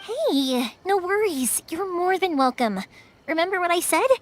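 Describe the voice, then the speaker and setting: A woman's voice: extremely high pitch, a little slow, slight background noise. A woman voicing a caring young woman who makes fireworks in a video game, chatty and reassuring. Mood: upbeat, reassuring. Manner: animated, reassuring, casually chatty. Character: cheerful, caring young woman fireworks maker, loyal to customers. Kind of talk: English video game voice acting.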